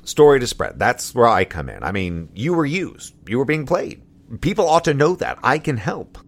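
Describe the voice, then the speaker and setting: Flustered, animated moonbase crewman. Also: medium pitch, moderate pace. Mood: eager. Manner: stammering, hesitant, flustered. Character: nervous, eager, rambling male moonbase crewman. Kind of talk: audio drama acting, conversational.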